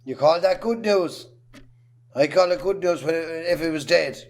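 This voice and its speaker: A man's voice: on the high side, unhurried, a little mellow. A man with an Irish accent playing a stubborn, bitter, bad-tempered husband in an amateur drama. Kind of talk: amateur stage drama acting.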